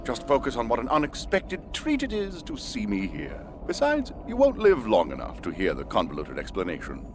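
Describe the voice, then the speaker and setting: An actor playing a menacing, theatrical villain who speaks in grand, drawn-out phrases in a game cutscene. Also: male voice, mid-range voice, huge pitch swings, noisy background. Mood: gloating. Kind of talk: video game cutscene acting.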